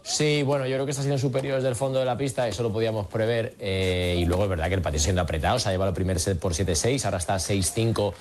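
A man's voice: on the low side, steady tone, very fast, hardly any pauses, a little mellow. A man from giving unscripted tennis analysis as a radio correspondent.

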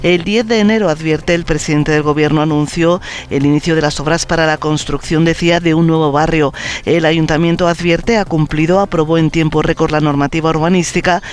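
A man's voice: on the high side, fairly even intonation, brisk. A man with a Castilian Spanish accent delivering a scripted news report.

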